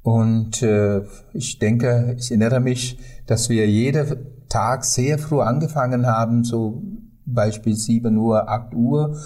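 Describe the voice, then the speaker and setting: A middle-aged or older man with a Sri Lankan accent speaks as a non-native, at a slow pace with frequent hesitations. His voice is soft-edged and mellow, in a conversational storytelling style. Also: mid-range voice, fairly even intonation. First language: not German. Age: 50s or older. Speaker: tour guide, podcast guest.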